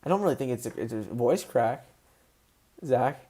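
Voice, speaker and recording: A man hosting a casual livestream, excitable and answering viewers' chat questions as he goes. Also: medium pitch, moderate pace, slightly rough. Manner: excitable, voice rises when passionate.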